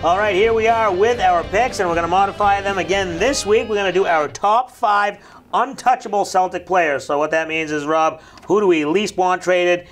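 A man in his thirties hosting a casual local sports talk show in a small studio, upbeat and quick with questions, on a lapel mic. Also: high-pitched, soft background music. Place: small brick-walled TV studio set.

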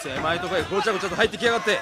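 A man playing a cool, defiant teenage street gang boss in a radio drama, curt and rough-spoken. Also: high-pitched, very fast, a loud crowd, lightly acted. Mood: urgent, angry.

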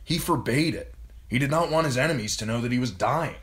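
A man's voice: mid-range voice, brisk, a bit bright, pitched above the performer's own voice, close to an everyday voice. A man voicing an earnest, troubled lordly brother in an audiobook, with a grave and emphatic manner. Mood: urgent, defensive. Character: grown lordly younger brother, earnest, troubled by his father's decline.

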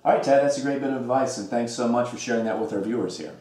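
A man's voice: medium pitch, racing, darkish tone. Young male host in a roomy kitchen. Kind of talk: scripted show hosting. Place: home kitchen, indoors. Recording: roomy kitchen sound, mic at a distance.

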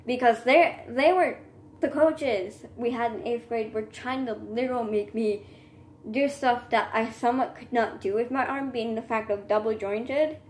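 A woman's voice: fairly high pitch, a little slow, slight background noise. Rambling teen girl venting to a phone. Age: teens. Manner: rambling, restarts and trailing asides. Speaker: vlogger. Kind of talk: casual venting monologue. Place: small indoor room. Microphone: phone camera at arm's length.

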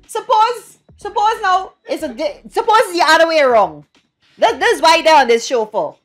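A woman's voice: very high voice, moderate pace, soft background music. A middle-aged woman in a casual podcast debate, insistent as she makes her point. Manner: insistent, animated, gesturing. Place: small indoor lounge studio. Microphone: podcast microphone on boom arm.

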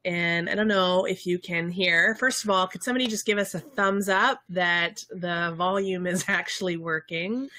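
A woman in her thirties with a head cold, her voice bright and forceful, talks on a home livestream through a desk webcam mic. She is chatty and excitable and leans on ums, and the sound is dry and close, with little room in it. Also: fairly low pitch, moderate pace, hardly any pauses, dry recording. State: stuffed up with a summer cold. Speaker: co-host of a game studio livestream. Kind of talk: casual live chat. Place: home room with bookshelves, indoors.

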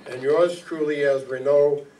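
An older male announcer reads a scripted introduction in a slightly high voice. He is picked up from a distance in a meeting hall with faint noise. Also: steady tone, measured pace, dark tone. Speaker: announcer introducing a radio play. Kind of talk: scripted introduction read aloud. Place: stage of a community meeting hall.

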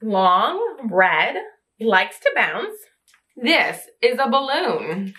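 A woman's voice: fairly high pitch, sing-song, drawn-out words, pushed and bright, heavily acted. A woman voicing a small, boastful, teasing mouse in a picture book who insists it is a tiger. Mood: confident.

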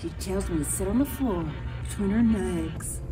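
A middle-aged woman narrating a storybook in an expressive, dramatic, emphatic way. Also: mid-range voice, moderate pace. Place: large indoor market hall. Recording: echoey hall, music behind.

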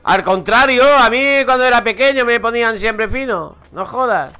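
This is a man from Madrid with a Madrid Spanish accent, talking casually over his gameplay into a headset. His voice sits high and comes through smooth and clean. He rambles through stories, swears freely and chuckles at himself. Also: normal speed, pushed and bright, soft background music. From Madrid, Spain. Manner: chatty, swears, laughs at own stories. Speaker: gaming streamer. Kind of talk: casual let's-play commentary.

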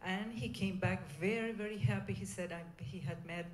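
A middle-aged woman with a Venezuelan Spanish accent gives an informal conference talk, speaking in a hesitant, halting way. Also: mid-range voice, fairly even intonation, a little mellow. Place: indoor conference room. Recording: echoey room, clear background noise.

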